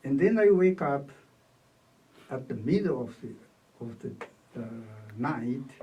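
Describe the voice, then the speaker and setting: An older man from Taiwan with a Taiwanese accent, recalling his life in an oral history interview in halting short phrases. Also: mid-range voice, mellow. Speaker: interviewee, retired academic. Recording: distant microphone.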